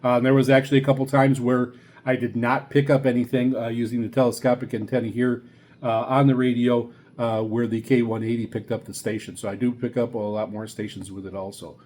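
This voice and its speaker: A middle-aged man giving a casual hobbyist review, talking in a halting, rambling, hesitant way. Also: mid-range voice, flat delivery, darkish tone. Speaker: radio hobbyist video host. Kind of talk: casual product review talk. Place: small home radio room, indoors.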